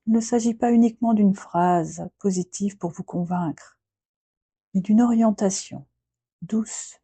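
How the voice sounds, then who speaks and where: Slow, dark, breathy woman guiding relaxation. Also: on the low side, no room sound. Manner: gentle, unhurried, with long pauses between short phrases. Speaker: yoga nidra guide. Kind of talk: guided relaxation meditation, slow and soothing.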